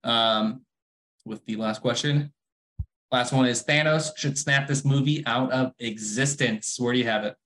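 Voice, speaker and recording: A man's voice: mid-range voice, measured pace, fairly bright tone, slight background noise. A young adult man co-hosting a casual podcast, opinionated and wry, often breaking into laughter. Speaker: podcast co-host.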